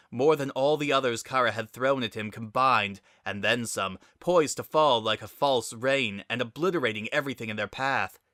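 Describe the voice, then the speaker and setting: A man's voice: medium pitch, pushed and bright, no echo, studio quality. A man reading a scripted story aloud as a dramatic narrator.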